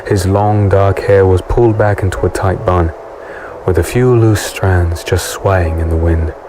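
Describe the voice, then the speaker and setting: A man's voice: deep voice, steady tone, measured pace, dark tone, slight background noise, low-quality recording. A man playing an easygoing, teasing swordsman hero in an audio drama, speaking and narrating in a wry, conversational way. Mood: wry, relaxed. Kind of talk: audio drama dialogue and first-person narration. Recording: music bed behind the voice.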